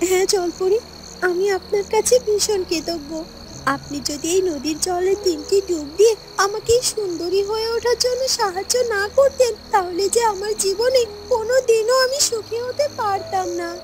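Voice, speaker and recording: A woman's voice: very high voice, moderate pace, background noise. A woman voicing a proud, wronged young village woman in a fairy tale cartoon, with earnest speeches. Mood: grateful.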